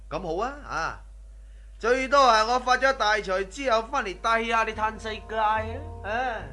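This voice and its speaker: Cocky, high-pitched ruthless robber. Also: male voice, a little slow, bright, forceful tone, soft background music. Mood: scornful, boastful. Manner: boastful, sneering, swaggering. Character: cocky, greedy, ruthless male robber scoffing at superstition. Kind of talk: Cantonese radio drama acting.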